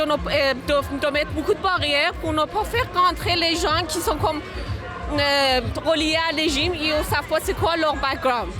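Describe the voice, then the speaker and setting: A high-voiced woman protester picks her words slowly, stumbling and starting over. Music and crowd noise fill the street. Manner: hesitant, restarts often. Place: outdoor city protest.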